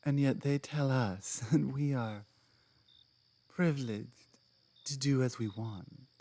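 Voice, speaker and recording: Woman acting a slow, low-voiced orc woman. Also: mellow, heavily acted. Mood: earnest, bitter. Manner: deliberate, halting phrases with weighty pauses. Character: strong, proud, earnest female orc companion, guarded about her past. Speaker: audio roleplay performer. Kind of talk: ASMR dramatic roleplay, intimate monologue to listener.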